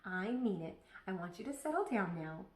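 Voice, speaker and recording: A woman voicing a patient but firm kindergarten teacher in a children's storybook, measured and instructive. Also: mid-range voice, big pitch swings, recorded from a distance, natural voice. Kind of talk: children's storybook read-aloud. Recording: echoey room.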